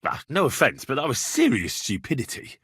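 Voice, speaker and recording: A man's voice: medium pitch, huge pitch swings, a little fast, fairly bright tone, husky, no echo, clean studio sound, full character voice. A man acting as a plain-spoken, righteous young medieval man in video game dialogue, direct and earnest in manner. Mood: questioning, incredulous. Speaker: voice actor.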